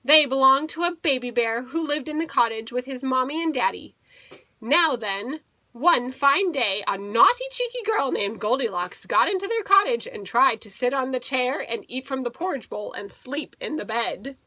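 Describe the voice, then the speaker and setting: A woman teacher reading a picture book aloud to children, playfully expressive, stretching words for emphasis and adding asides. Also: high voice, moderate pace, no room sound.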